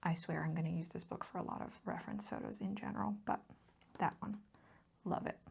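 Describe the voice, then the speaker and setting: Soft, breathy woman whispering ASMR with creaky edges. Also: on the low side, fairly even intonation, a little fast. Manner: casual, rambling, gently enthusiastic. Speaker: ASMR video host. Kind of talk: soft-spoken ASMR chat.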